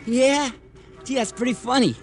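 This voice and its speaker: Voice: medium pitch, moderate pace, soft background music, natural voice. A performer playing a cheeky young newsboy in an old radio drama, with a brash, slangy street manner. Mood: cheeky, curious. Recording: vintage radio broadcast recording.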